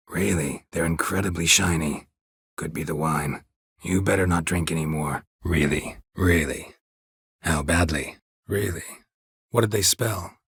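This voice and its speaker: A gruff, stoic monster hunter from a video game. His low voice is extremely husky and rasping, recorded very dry and close. He speaks in clipped, deadpan phrases with a weary, dry edge. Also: no echo, heavily acted. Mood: dry, matter-of-fact. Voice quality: very husky, gravelly rasp. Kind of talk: video game character dialogue.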